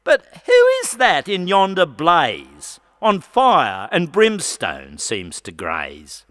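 A man's voice: fairly high pitch, wildly swooping pitch, slow pace, fairly bright tone, full character voice. A man voicing a defiant convict poet who declaims earnestly and indignantly in a recited ballad. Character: Frank, defiant male convict poet, bold and plainspoken. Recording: long reverb hall.

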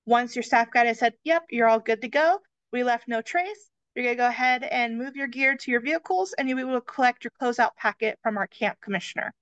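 A woman with a fairly high voice talks in a friendly way through camp procedures on a video call. Her sound is dry and a little muffled, as from a basic webcam mic. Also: a little fast, few pauses, fairly bright tone, dry recording. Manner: friendly, addresses listeners as you guys. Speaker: camp staff presenter. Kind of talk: slideshow briefing.

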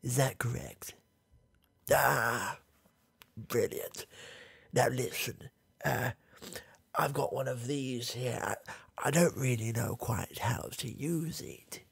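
A young man voicing a confused, rambling old grandfather with a gravelly, husky voice, in a comedy ASMR roleplay recorded close in a small dark room. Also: mid-range voice, dry recording, exaggerated voice. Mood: doddering. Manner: rambling, halting, trailing off, chuckling. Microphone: close studio mic, camera near face.

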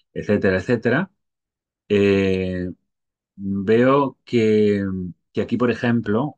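A middle-aged man from Spain with a Spanish accent, talking casually to his webcam and thinking aloud as he goes. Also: deep voice. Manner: rambling, thinking aloud, tag questions. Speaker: stock photographer vlogger. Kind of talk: casual talk to camera.

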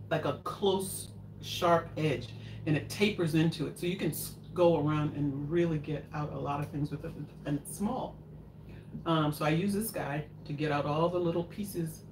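An older woman hosting a live home cooking show, chatting and rambling as she answers viewer questions. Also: low voice. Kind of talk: casual live chat while cooking. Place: home kitchen, indoors. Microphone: far camera in front of counter. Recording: echoey kitchen, noisy, mic at a distance.

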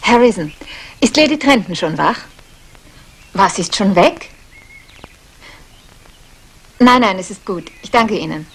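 A woman's voice: medium pitch, expressive, a little slow, pauses often, slightly rough. An actress playing an anxious, well-to-do woman in a 1960s German crime radio play. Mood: urgent.

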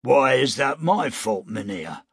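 A man voicing a loud, defiant owner of a gambling house who blusters and mocks. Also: mid-range voice, very animated, a little slow, vocal fry, breathy edge, dry recording, studio quality, pitched above the performer's own voice, full character voice. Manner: blustering, mocking.

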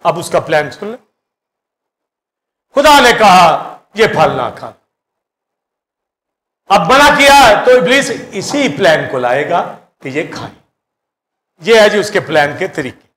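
A middle-aged man with a Pakistani Urdu accent preaching a sermon in a church hall on a handheld mic, swinging between loud bursts and hushed asides. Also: sits high, sing-song, takes it slowly, pauses often. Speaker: pastor.

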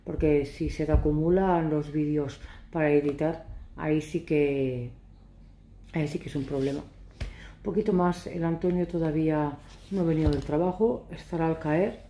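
A woman in her thirties, from Catalonia, chatting to camera in a casual, ironic, rambling way, a little hoarse. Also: sits low, steady tone, normal speed, mellow, roomy sound, slight background noise. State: hoarse from shouting. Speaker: vlogger. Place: living room sofa, indoors. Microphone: phone camera at arm's length.

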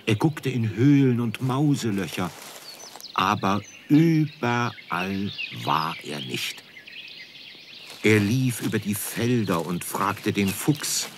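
A man's voice: lowish voice, animated, slow, background noise. A man narrating a scripted children's story as storyteller.

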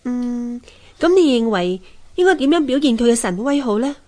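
Woman acting a high-voiced, coaxing wife. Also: animated, moderate pace, a little mellow, lightly acted. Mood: advising. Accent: Hong Kong Cantonese. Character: wise, gently advising wife of a sculptor. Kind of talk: Cantonese radio drama acting.

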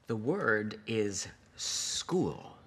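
A man's voice: mid-range voice, very noisy, full character voice. A man playing a rude, bullying news boss. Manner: impatient, condescending, shouting orders. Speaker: news director. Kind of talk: scripted drama acting.

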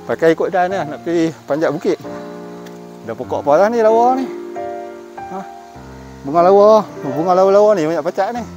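A man's voice: high voice, animated, fairly quick, pauses often, lo-fi sound, music in the background. A middle-aged man with a northern Malaysian Malay accent chatting casually through a face mask on a walking vlog outdoors. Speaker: vlogger. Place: outdoors on forest park trails by a cave. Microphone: camera at arm's length.

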